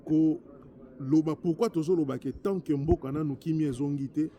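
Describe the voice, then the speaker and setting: A man's voice: on the high side, moderate pace, warm tone, a little hiss or hum. A man from DR Congo with a Congolese accent giving an impassioned political address as a politician, emphatic and repetitive in his delivery. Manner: emphatic, repetitive, switching between French and Lingala. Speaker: politician, former member of parliament.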